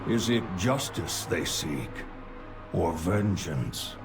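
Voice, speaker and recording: Brooding, very deep-voiced man. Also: sing-song, takes it slowly, exaggerated voice. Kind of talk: dramatic video game cutscene acting. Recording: music behind the voice.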